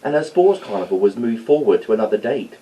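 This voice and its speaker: A man's voice: mid-range voice, very animated, fast talker, warm tone, recorded from a distance, slight background noise, poor audio. A man reading a children's story aloud as storyteller. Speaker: storyteller, school staff reader.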